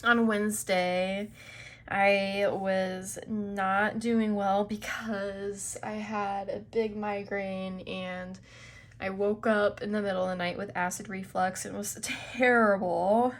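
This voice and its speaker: A young woman talks through her weekly planner in a slow, easygoing way. Her voice is a little stuffy, and her pitch sits mid-range. She rambles comfortably, with plenty of "um" and "like.". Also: little pitch movement. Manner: chatty, unhurried, with fillers and small laughs. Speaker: planner video creator. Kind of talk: casual planner flip-through narration.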